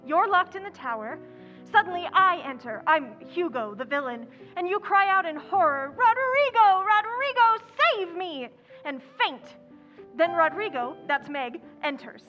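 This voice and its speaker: A woman's voice: sits high, lively intonation, normal speed, pushed and bright. A woman playing a bold, tomboyish teenage girl in an old-time radio play, with a bossy, eager, theatrical delivery. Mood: impatient, instructing. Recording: dull lo-fi sound, faint music behind.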